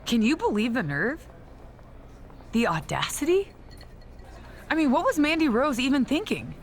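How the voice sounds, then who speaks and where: A woman's voice: sits high, sing-song, normal speed, a little hiss or hum. A woman playing a pompous, entitled female wrestling queen in a video game cutscene, condescending and easily indignant. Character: haughty, self-crowned female wrestling queen, entitled and scornful.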